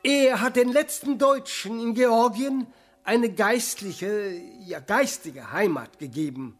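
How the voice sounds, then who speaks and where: An actor playing a pompous church official making formal, measured appeals in a radio drama. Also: male voice, high-pitched, expressive, soft background music, heavily acted. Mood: solemn. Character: pompous male church official soliciting money.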